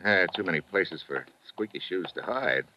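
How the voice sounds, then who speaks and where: An actor playing a tough, wry American investigator in an old-time radio drama, with clipped hard-boiled delivery. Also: male voice, deep voice, expressive, normal speed. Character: tough wisecracking male American investigator posing as correspondent. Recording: dull, hissy vintage radio recording.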